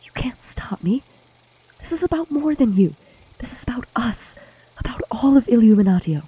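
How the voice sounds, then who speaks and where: A woman playing a determined, secretive young student with a breathy, hushed voice in an audio drama. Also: a little high, very animated, normal speed, full character voice, poor audio. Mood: defiant. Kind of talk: intense audio drama dialogue.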